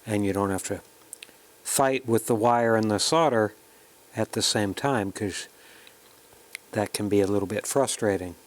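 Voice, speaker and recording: Low-voiced man giving a hands-on tutorial. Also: unhurried, long pauses. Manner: plain, explanatory, talking through each step while working. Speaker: host of an electronics kit build tutorial. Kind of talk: casual hands-on tutorial narration.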